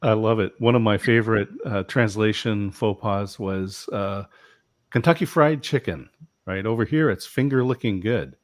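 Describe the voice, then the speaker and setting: A man co-hosting a talk show over a video call, telling a story in a relaxed, slow way with fillers. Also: on the low side. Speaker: show host. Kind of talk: casual interview chat.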